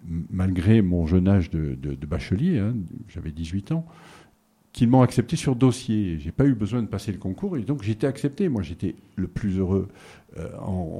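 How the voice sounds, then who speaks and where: A middle-aged man from southern France answers interview questions in a very low, dark and mellow voice. He speaks hesitantly, pausing on fillers and restarting his sentences as he recalls his past. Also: husky. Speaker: interview guest. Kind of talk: relaxed conversational interview.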